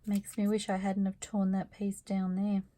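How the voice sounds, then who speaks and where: A woman losing her voice, talking quietly and thinking aloud as she works on a craft project in her studio. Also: medium pitch, monotone, moderate pace. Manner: quiet, murmured asides to herself while working. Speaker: craft vlogger. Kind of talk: soft-spoken craft-along commentary, thinking aloud.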